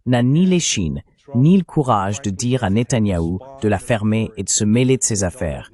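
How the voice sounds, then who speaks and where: Guy with a lowish voice doing translated political commentary, blunt and opinionated. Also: expressive, normal speed, warm tone. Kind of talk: translated voice-over of a political discussion.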